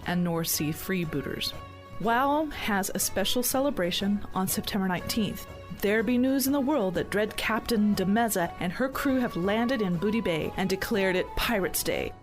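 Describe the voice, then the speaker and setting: A hearty pirate captain, played by a woman hosts a podcast, reading scripted narration with pirate slang. The voice is medium in pitch, with clear music underneath. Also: close to an everyday voice. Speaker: podcast host.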